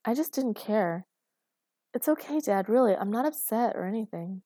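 Woman doing a sixteen-year-old girl, chatty, unfazed, audiobook character, relaxed tone, dry recording. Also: mid-range voice, normal speed, no room sound, pitched above the performer's own voice, close to an everyday voice. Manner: matter-of-fact, chatty.

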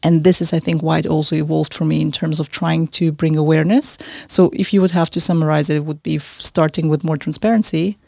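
A woman's voice: low-pitched, fairly even intonation, fairly quick, gravelly, hardly any pauses, a little mellow, no room sound, studio quality. A young woman from Central Asia, raised in Austria, answering podcast questions as a fashion designer and consultant, talking fluently and earnestly.

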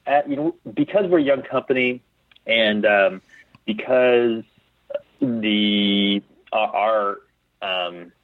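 A man's voice: lowish voice, slow, pauses often, no echo, phone-quality sound. A man giving casual interview answers as a company founder, thinking aloud and often repeating his words as he goes. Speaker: guest, clothing company founder.

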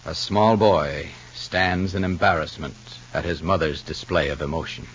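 A man announcing and narrating an old-time radio soap and its sponsor pitch, with emphatic, polished delivery. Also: fairly even intonation, normal speed, a bit bright, breathy edge. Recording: muffled old radio recording.

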